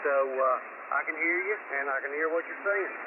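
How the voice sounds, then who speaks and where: A slightly high-voiced man checks in on ham radio with casual, halting signal reports. The audio is thin and band-limited, buried in heavy static. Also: distant mic, phone-quality sound. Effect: heard over amateur radio. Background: loud static and hum.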